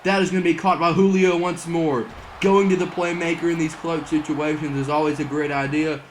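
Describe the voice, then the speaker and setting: A young man calls a football video game like a broadcast announcer, his voice sitting a bit high and starting to wear thin from all the calling. He builds to near-shouts on the big plays, and a faint hiss of noise runs under the recording. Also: level tone, brisk. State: losing his voice. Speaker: gaming channel host doing play-by-play. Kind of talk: mock broadcast sports commentary over gameplay.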